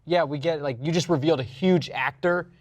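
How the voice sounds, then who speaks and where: A man's voice: fairly high pitch, a little fast, dry recording. A man hosting a casual, opinionated panel discussion, talking emphatically and repeating phrases to drive points home. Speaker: panel host on a film and gaming discussion show.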